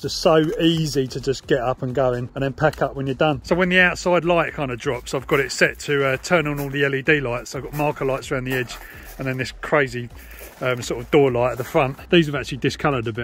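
A man's voice: medium pitch, steady tone, fast talker, a bit bright. A man, giving a casual, chatty explanatory vlog as a hobbyist presenter. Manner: informal, chatty. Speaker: radio hobby vlogger.

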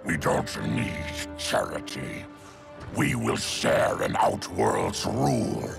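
A proud savage warlord in a video game. He growls slowly with a gravelly throat and big swings in pitch, music running underneath. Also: wildly swooping pitch, heavily acted. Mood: proud, defiant.

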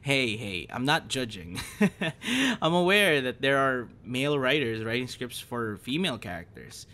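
A man playing a gentle, encouraging young voice actor in a soft, hesitant, reassuring ASMR boyfriend roleplay. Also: medium pitch, big pitch swings, unhurried. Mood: earnest, reassuring. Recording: noticeable background noise.

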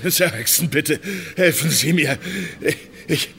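A man's voice: fairly high pitch, a little slow, creaky, fairly bright tone, a little hiss or hum. An actor playing a wounded, irritable man who strains and gasps with pain in an audio crime drama. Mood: pained, pleading.

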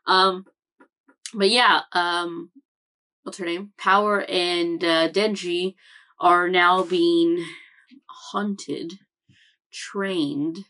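Chatty, rambling young woman, lowish voice. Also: a bit bright. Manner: rambling, chatty, with many fillers and self-corrections. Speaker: anime reaction channel host. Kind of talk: casual chat recap. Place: home room with bookshelves.

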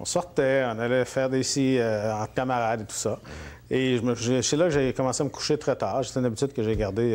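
Franco-Ontarian man, halting chat. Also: medium pitch, darkish tone. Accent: Franco-Ontarian French. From Northern Ontario, Canada. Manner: hesitant, many fillers and restarts. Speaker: interview guest, politician. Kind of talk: relaxed interview conversation. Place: living room at home.

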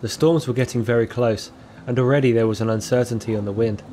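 A young man calmly narrating his own outdoor journey as a scripted documentary voice-over, with a measured, reflective delivery. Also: mid-range voice, a little hiss or hum. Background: music playing under the voice.

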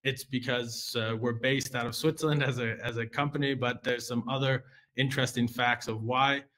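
A man's voice: medium pitch, fairly even intonation, normal speed, fairly bright tone. American man presenting a finance webinar. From United States. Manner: conversational, with frequent fillers and restarts. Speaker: junior relationship manager.

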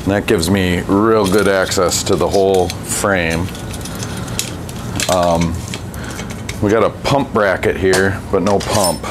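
A man working on a bike in his workshop, talking casually through each step with a relaxed, chatty manner. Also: sits low, slow, pauses often. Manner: relaxed, chatty, thinks aloud with fillers. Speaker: bike mechanic and channel host. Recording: workshop noise behind the voice.

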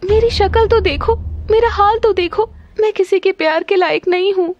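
A woman's voice: very high voice, moderate pace, darkish tone, lo-fi sound, voice pushed up. A woman voicing a distressed young serpent's daughter in a cartoon story, pleading and plaintive. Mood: upset, pushing away.

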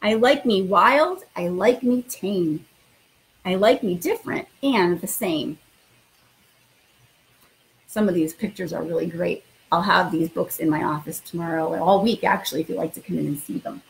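A woman's voice: on the low side, moderate pace, gravelly, rough recording. A woman in middle age, a school principal, talking informally and reading a picture book to children in an encouraging way. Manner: encouraging, addresses children directly.

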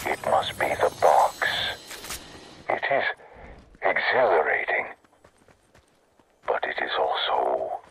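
Super deep slow brooding tyrant, grandiose game villain, bit of noise behind. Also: male voice, exaggerated voice, rough recording. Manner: grandiose, deliberate, menacing. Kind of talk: theatrical villain monologue in a video game.

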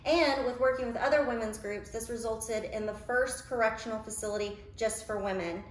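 A woman's voice: fairly high pitch, steady tone, brisk, a little hiss or hum. A woman in her forties giving a history talk during a cooking demonstration in a home kitchen, with frequent ums and uhs. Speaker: museum educator. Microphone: camera a few feet away. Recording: roomy kitchen, mic at a distance.

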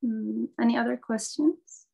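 A woman's voice: on the high side, a little slow, vocal fry, warm tone. A woman medical student, not a native English speaker, giving an informal talk over a video call and often repeating words as she restarts.

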